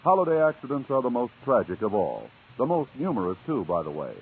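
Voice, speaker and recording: A male announcer from 1950s radio reads a scripted public-service message. His voice is slightly rough and very animated in pitch. The recording is dull and narrow. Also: brisk.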